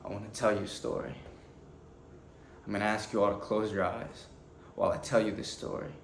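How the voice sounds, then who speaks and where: An actor playing an earnest, anguished defense lawyer pleading to a jury, delivering a deliberate, emotional courtroom monologue with weighted pauses. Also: male voice, on the low side, flat delivery, vocal fry. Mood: hushed, solemn. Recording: echoey room with faint background noise.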